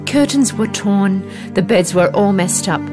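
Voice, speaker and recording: A woman narrating a children's fairy tale in an expressive, dramatic storytelling manner. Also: mid-range voice, a little slow, over music.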